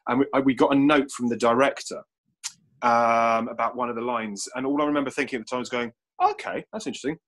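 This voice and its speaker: A man talks very quickly in an informal panel conversation. His voice is somewhat husky and unpolished. He rambles, repeating and restarting phrases as he works through his thoughts. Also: mid-range voice, fairly bright tone. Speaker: audio drama writer. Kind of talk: casual panel chat. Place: remote online call.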